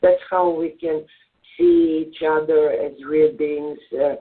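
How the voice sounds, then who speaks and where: A man's voice: a little high, fairly even intonation, slow pace. A man with a Serbian accent, a native Serbian speaker, answering as a guest in a call-in radio interview, hesitant with many filler sounds. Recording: tinny phone-line audio.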